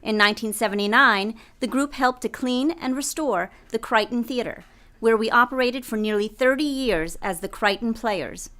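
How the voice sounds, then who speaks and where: A woman's voice: a little high, moderate pace, a bit bright. A woman announcer reading scripted promotional narration for a theatre company.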